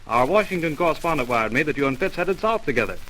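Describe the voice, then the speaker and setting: Wisecracking reporter, teasing, bright highish voice, old radio play. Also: male voice, lively intonation, fast. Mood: smug, amused. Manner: breezy, teasing. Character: cocky, wisecracking male roving newspaper reporter. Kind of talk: 1930s radio drama acting. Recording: noisy old radio recording.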